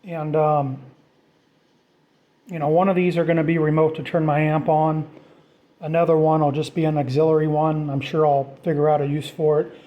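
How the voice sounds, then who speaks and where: A man's voice: fairly high pitch, flat delivery, moderate pace, dull audio. A man narrating a do-it-yourself project in a casual, off-the-cuff way, thinking aloud informally as he goes. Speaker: DIY vlogger.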